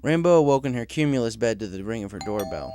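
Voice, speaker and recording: A man's voice: mid-range voice, expressive, quick pace, dark tone. A man reading a story aloud as a scripted narrator. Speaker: story reader.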